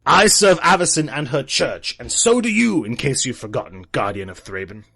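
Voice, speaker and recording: Stern, devout soldier with swooping pitch man acting. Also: sing-song, moderate pace, gravelly, pushed and bright, breathy edge, full character voice. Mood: stern, angry. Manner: solemn, formal. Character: stern, devout male church soldier, loyal and principled. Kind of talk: fantasy audio drama acting.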